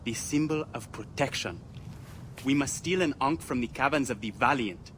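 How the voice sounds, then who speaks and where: A man acts out a warrior's bookish young son in an ancient Egyptian video game. He is earnest and speaks with poetic drama. The recording has clear background noise and rumble behind the voice. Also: normal speed, fairly bright tone, close to an everyday voice. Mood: eager, dramatic. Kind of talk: video game cutscene acting.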